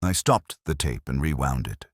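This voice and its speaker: A man playing a melancholy, reflective police detective, narrating his case in solemn, deliberate literary phrasing. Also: rumbling low, expressive, husky, no room sound, studio quality, exaggerated voice. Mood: brooding, measured. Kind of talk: scripted first-person detective story narration.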